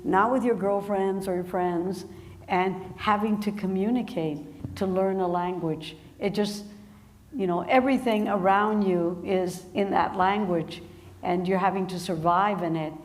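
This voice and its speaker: A woman author talking with an audience at a book event. She laughs often and speaks in halting restarts. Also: medium pitch, fairly even intonation. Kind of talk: conversation at a public book event. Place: large hall with an audience. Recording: echoey hall with faint noise.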